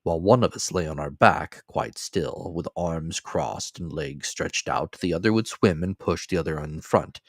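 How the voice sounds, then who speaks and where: A man's voice: low voice, normal speed, hardly any pauses, no echo, studio quality. A man reading classic fiction as a dramatic, scripted audiobook narration. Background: faint music.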